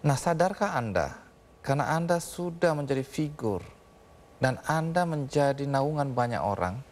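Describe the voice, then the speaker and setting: A man's voice: mid-range voice, animated, moderate pace, a little mellow, slight background noise. Middle-aged man, tv talk show host, direct probing questions, studio with lapel mic. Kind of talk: studio interview. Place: TV studio with live audience.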